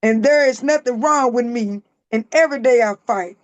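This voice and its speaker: A woman with a raspy, hoarse voice, a guest author reading her own poems aloud in a slow, emphatic spoken-word style. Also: a little high, no echo, highs cut off.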